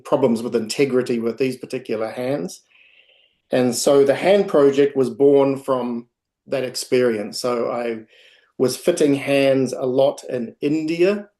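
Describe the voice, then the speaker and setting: Casting: man with a New Zealand accent, unhurried and halting, video-call presenter. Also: mid-range voice, darkish tone. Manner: hesitant, many ums and restarts. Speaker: guest speaker, project founder.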